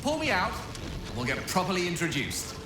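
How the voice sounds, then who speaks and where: A man's voice: high-pitched, huge pitch swings, brisk, noisy background. An actor playing a chatty, affable male traveler in a fantasy game, with an echo and music behind. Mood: urgent, jaunty. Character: chatty, affable traveling man calling for help. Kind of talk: animated fantasy game dialogue.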